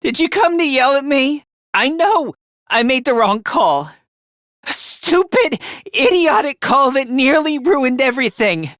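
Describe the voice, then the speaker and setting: A man voicing a timid, self-loathing young male student in an anime video game, with a youthful, high voice and a meek, apologetic, hesitant manner. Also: lively intonation, a little slow, a bit bright, no echo, clean studio sound, heavily acted. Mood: dejected, remorseful. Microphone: close studio mic.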